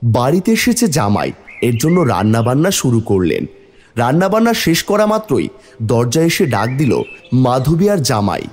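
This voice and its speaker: A man's voice: mid-range voice, very animated, normal speed, darkish tone. A man narrating a scripted fairy-tale cartoon as its storyteller.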